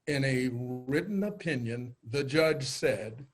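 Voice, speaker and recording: A man playing a formal official, reading proclamations deliberately and declaiming them in a readers' theater style. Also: mid-range voice, lightly acted. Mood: formal, proclaiming.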